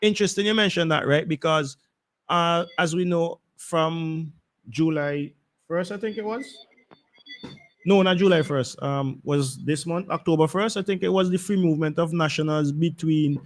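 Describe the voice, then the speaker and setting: Guy hosting a radio call-in, voice a bit high, hesitant, repeats himself. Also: normal speed. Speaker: radio talk show host. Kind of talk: live call-in talk. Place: radio studio.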